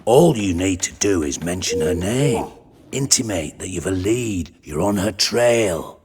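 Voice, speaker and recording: An actor playing a cunning, theatrical spymaster in a game, with a measured, persuasive delivery. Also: male voice, low voice, expressive, a little mellow, a little hiss or hum. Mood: calculating. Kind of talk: cinematic game voice acting.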